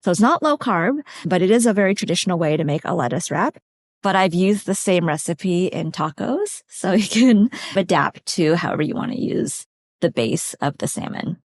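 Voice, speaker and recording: Lowish-voiced woman explaining nutrition, dry mic. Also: expressive, normal speed, no echo. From California, USA. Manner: warm, practical, explains step by step. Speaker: dietitian, podcast guest. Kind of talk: conversational expert explanation.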